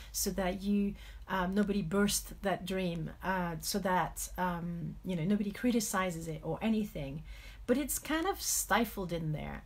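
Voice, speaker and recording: A middle-aged French woman speaking English with a French accent, giving a candid, reflective motivational talk with personal stories, often correcting herself, in a husky voice. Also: medium pitch. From France. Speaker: motivational coach hosting a live stream.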